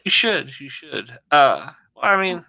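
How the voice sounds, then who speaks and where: A man's voice: a little high, very animated, a little slow, creaky, bright, forceful tone, dry recording. A man co-hosting a casual talk show, chatting in an easygoing, jokey way and laughing often. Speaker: co-host; photographer. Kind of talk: casual chat.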